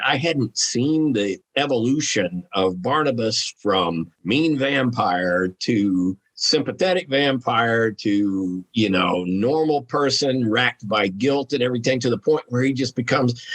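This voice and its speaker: A man's voice: medium pitch, a bit bright. A man talking casually and frankly as a podcast guest, with salty, informal phrasing. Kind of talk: casual conversational commentary.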